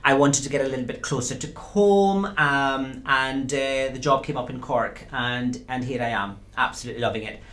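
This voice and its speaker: A man's voice: medium pitch, a bit bright. Thoughtful male lecturer. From Scotland. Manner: thoughtful, measured, explains with pauses and fillers. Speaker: university lecturer, guest. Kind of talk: interview. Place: small studio.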